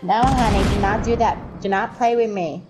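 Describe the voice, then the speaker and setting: A woman's voice: mid-range voice, moderate pace. A woman bantering casually in a game voice chat, with a sassy, mock-offended valley-girl way of talking. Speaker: player in online squad game. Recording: game voice chat, music behind.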